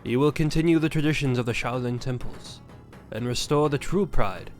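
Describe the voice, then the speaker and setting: Mid-pitched man, dramatic narration over music. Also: normal speed.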